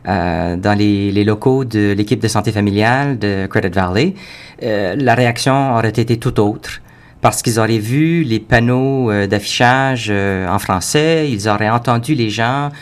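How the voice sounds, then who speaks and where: A man who heads an organization, speaking as a talk-show guest, halting often on fillers. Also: lowish voice. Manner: hesitant, many fillers. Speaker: executive director guest. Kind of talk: panel discussion.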